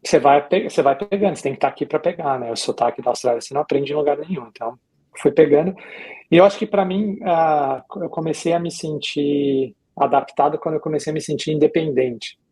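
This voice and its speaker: A middle-aged man with a Brazilian Portuguese accent, speaking as an interview guest in a casual, reflective way, pausing and repeating himself as he recounts his experience. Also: on the high side, dark tone, slightly rough, rough recording. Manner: reflective, hesitant with pauses and repetitions. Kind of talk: casual conversational storytelling.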